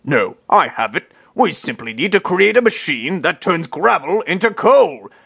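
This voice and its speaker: A man voicing a dim, blustering old rich man in a comic dub, pompous, earnest and excitable. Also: on the high side, unhurried, vocal fry, breathy edge, heavily acted. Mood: smug, deluded.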